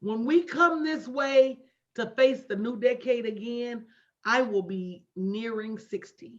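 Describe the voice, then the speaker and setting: A woman's voice: a little high, slow pace. A woman in her late forties coaching a live online audience with emphatic, preacher-like repetition and folksy, direct address. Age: late 40s. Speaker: motivational business coach hosting a livestream. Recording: dull lo-fi livestream audio.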